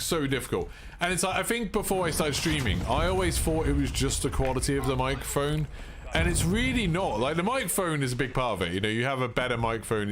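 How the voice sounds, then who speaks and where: Laid-back British man streaming. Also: noisy background. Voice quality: deep voice. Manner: laid-back, chilled delivery. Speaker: gaming streamer. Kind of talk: casual live-stream chat over gameplay. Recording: gameplay gunfire and clicks behind.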